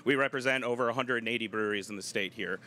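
A man's voice: medium pitch, rapid-fire, bright, forceful tone. An American man in his thirties giving a conversational live TV interview outdoors on a handheld microphone. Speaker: interview guest, trade group director. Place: outdoors at a state fair stage.

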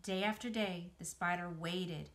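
A woman's voice: fairly low pitch, fairly even intonation, a little slow, fairly bright tone. A middle-aged woman narrating a children's storybook aloud as an educator, recorded indoors in a small room. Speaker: museum educator reading aloud.

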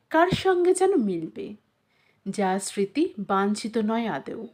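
High-pitched, animated woman narrating a story. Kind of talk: dramatic literary story narration.

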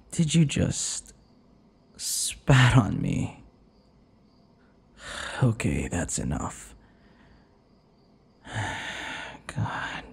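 A man playing a possessive, taunting vampire captor in an intimate ASMR roleplay, his breathy voice often dropping into whispers. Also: on the low side, big pitch swings, heavily acted. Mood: menacing, taunting. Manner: taunting, slow, drawn-out endearments. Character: possessive, obsessive male vampire captor and would-be lover. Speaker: audio roleplay voice actor.

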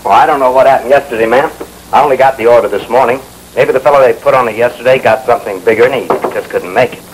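A man's voice: mid-range voice, fast talker, slight background noise, natural voice, rough recording. Folksy American telephone installer, played by a man, old film. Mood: apologetic. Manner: hesitant, folksy, polite. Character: harried, placating male telephone installer, folksy and apologetic. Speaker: actor in a training film. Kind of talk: 1960s training film acting. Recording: old film soundtrack, music behind.

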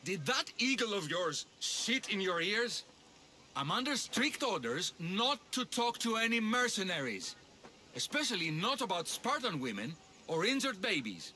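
An actor playing a cheeky, excitable priest of an ancient Greek bathhouse in a video game. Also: male voice, sits high, a little slow, full character voice. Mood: dismissive, snide. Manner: sarcastic, excitable, theatrical. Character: cheeky, panicky male sanctuary bathhouse priest in ancient Greece. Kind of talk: video game character acting.

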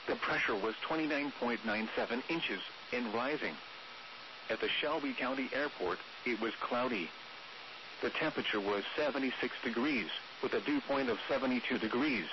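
An automated male announcer reads scripted weather forecasts and observations in a measured, formal, synthetic-sounding way. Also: mid-range voice. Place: weather radio broadcast played through a streaming app. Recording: noisy, dull weather radio stream.

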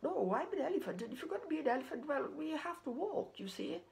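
A woman's voice: high voice, sing-song, fast talker, creaky, darkish tone, a little hiss or hum, pitched above the performer's own voice, exaggerated voice. A woman voicing a big, friendly, matter-of-fact elephant in a puppet story, firm and instructive.

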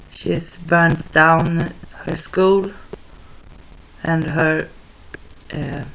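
A young woman, speaking in English, talks to a webcam at home. Her delivery is slow and flat, and her voice has a gravelly edge. She pauses often, restarts her sentences and fills the gaps with ums. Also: low voice, a little hiss or hum, low-quality recording. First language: Swedish. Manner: hesitant, halting, many fillers and restarts. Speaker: vlogger. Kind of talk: casual talk to camera. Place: small living room at home, indoors. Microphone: webcam at desk distance.

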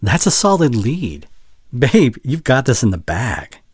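A man playing a caring, worried boyfriend in an intimate audio roleplay, with a soft, breathy voice and tender, halting delivery close to the microphone. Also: mid-range voice, sing-song, no echo. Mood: warm, encouraging. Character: caring, worried young boyfriend, gentle and earnest. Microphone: close studio condenser microphone.

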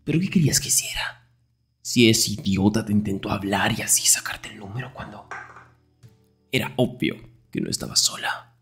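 A low, husky young man played by a male actor murmurs in an intimate ASMR roleplay as a jealous, protective best friend. He is grumpy and teasing, slipping often into breathy whispers with a gravelly edge. His delivery is defensive and sarcastic. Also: normal speed, fairly bright tone, faint music behind, full character voice. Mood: defensive, irritated.

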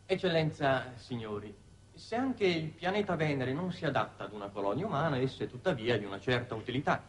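An actor playing a deferential undersecretary making a careful, formal, hesitant report in a 1960s Italian television drama. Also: male voice, medium pitch, animated, brisk. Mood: deferential, cautious. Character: deferential bureaucratic male undersecretary reporting on Venus. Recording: noisy dated 1960s television soundtrack.

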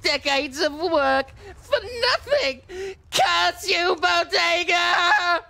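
A man doing a gravelly high space-elf villain. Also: very slow, heavily acted. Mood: villainous. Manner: theatrical, gravelly, shouting curses. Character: male elf leader, villainous turned wistful. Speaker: voice actor in a charity-stream radio play.

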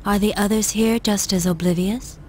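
A woman playing a cold, aloof young female antagonist in a video game, detached and deliberate in delivery. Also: medium pitch, steady tone, darkish tone, slight background noise, close to an everyday voice. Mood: cold, commanding.

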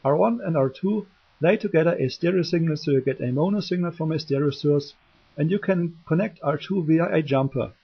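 Hobbyist tutorial presenter: man, husky and gravelly voice, lo-fi sound. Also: on the high side, a little fast. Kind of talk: technical tutorial explanation.

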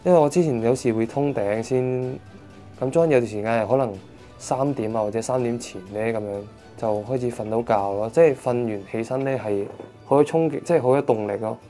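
A man's voice: medium pitch, brisk, dark tone, soft background music. A man, a patient giving a candid personal testimony in short bursts. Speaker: patient in a support program.

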